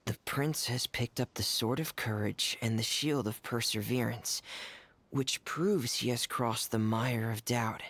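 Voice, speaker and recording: A man playing a shy, earnest, soft-spoken teenage boy in a video game cutscene, reserved and hesitant. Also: mid-range voice, gravelly, slightly rough, no echo, full character voice. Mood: thoughtful.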